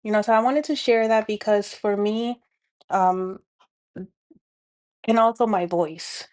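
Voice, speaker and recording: A woman's voice: medium pitch, a little slow. Earnest woman with a Dominican accent. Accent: Dominican Spanish. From Dominican Republic. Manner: earnest, searching, many fillers and restarts, soft laughs. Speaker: spiritual healing host. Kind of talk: reflective monologue to camera. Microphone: speaking to camera.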